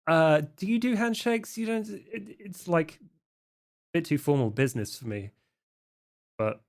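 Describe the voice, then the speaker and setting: A man playing a slangy vampire hustler in an improvised tabletop game, with wry, halting salesman patter. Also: a little high, animated. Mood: wry, agreeable. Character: sly, slangy male vampire hustler named Sean.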